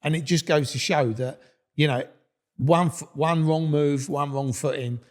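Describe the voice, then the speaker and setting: Rambling, swearing middle-aged host. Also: male voice, a little high, fairly even intonation, measured pace. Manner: rambling, swears freely, many restarts. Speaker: podcast host. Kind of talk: casual interview chat.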